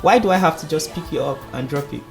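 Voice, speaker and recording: A man, a singing contest finalist, telling stories in a playful, animated way on the radio, laughing often. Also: fairly high pitch, fast talker, vocal fry, faint music behind. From Nigeria. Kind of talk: casual radio interview.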